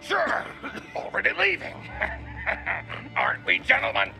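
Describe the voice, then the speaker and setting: A man's voice: high voice, huge pitch swings, takes it slowly, vocal fry, full character voice. A man voicing a cocky, mocking renegade thug in a game cutscene. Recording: cutscene with score music.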